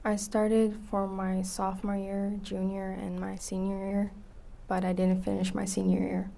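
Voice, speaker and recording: An eighteen-year-old woman from Iraq, a witness in court, soft-spoken and answering briefly and quietly. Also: mid-range voice, monotone, measured pace, gravelly. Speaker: trial witness.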